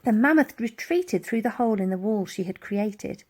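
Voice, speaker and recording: A woman narrating a children's adventure story in an animated storytelling style. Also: mid-range voice, moderate pace. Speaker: audiobook reader.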